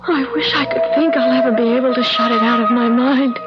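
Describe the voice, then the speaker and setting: Woman acting a high-voiced anxious young radio-drama wife. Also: brisk, over loud music, lightly acted. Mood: horrified, distraught. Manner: expressive, emotive, theatrical. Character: Jane, anxious affectionate young wife in new apartment. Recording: muffled, hissy old radio drama recording.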